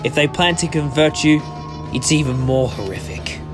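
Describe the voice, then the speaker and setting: Man acting animated, higher-voiced urgent adventurer. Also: normal speed, over music, close to an everyday voice. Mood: alarmed. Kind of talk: amateur sci-fi audio drama acting.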